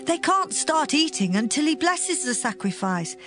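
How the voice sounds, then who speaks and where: An actress playing a helpful, excited young village woman in dramatized scripture, brisk and eager. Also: high voice, soft background music.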